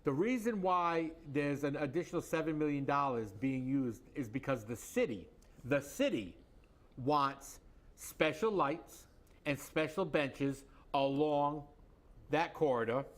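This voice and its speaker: A man's voice: fairly high pitch, a little slow. A man co-hosting a local political commentary show, recounting a public meeting in a plain, matter-of-fact way. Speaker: co-host.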